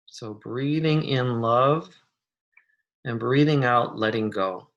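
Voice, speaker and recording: Gentle, unhurried meditation guide. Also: mid-range voice, dull audio. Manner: gentle, soothing, unhurried instruction with long pauses.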